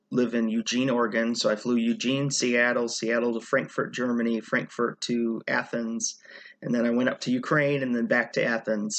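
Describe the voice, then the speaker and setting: A man from the United States talking casually about a trip, relaxed and rambling with fillers and self-corrections. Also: mid-range voice. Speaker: channel host. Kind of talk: casual travel vlog chat.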